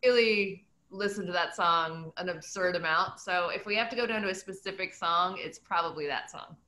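A woman's voice: fairly low pitch, normal speed, bright, forceful tone. A middle-aged woman from New Mexico with an American accent, talking casually as a guest author. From New Mexico, United States. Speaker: author, guest at a book talk. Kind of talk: casual chat. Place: indoor room, on a video call. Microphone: computer mic on a video call. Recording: echoey room on video call.

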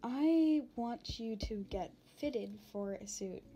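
A woman playing a playful, anxious young demon princess in an ASMR roleplay, talking casually and haltingly with a giggly manner. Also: fairly high pitch, expressive, mellow, dull audio. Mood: coaxing, playful. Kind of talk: ASMR roleplay, unscripted-sounding casual chat.

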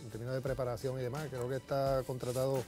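A middle-aged man with a Puerto Rican accent, co-hosting casual sports talk in a halting, conversational way. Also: mid-range voice, little pitch movement. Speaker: sports show co-host. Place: TV studio at a desk. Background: music.

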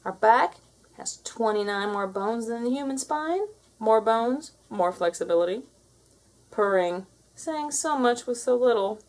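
Woman playing a sassy storybook cat, boastful, theatrical, slow delivery, animated intonation. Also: on the high side. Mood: smug, boastful. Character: smug, sassy alpha cat lecturing younger cats. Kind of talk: children's storybook read-aloud.

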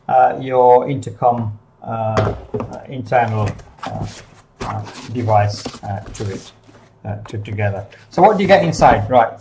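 A man giving an unscripted product overview in a small office, explaining things in a halting, hesitant way. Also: medium pitch, noisy background. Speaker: product demonstrator for a CCTV supplier.

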